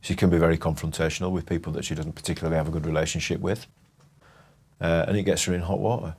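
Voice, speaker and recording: A man's voice: low voice, level tone, darkish tone, breathy edge. A middle-aged man with a Yorkshire accent, a head teacher speaking firmly and plainly about his school and pupils. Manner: firm, plain-spoken, measured. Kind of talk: documentary interview and school talk.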